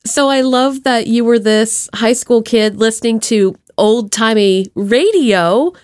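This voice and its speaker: A woman hosting a podcast in casual chat, with a gushing, expressive manner. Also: a little high, takes it slowly, no room sound, studio quality. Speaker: podcast host.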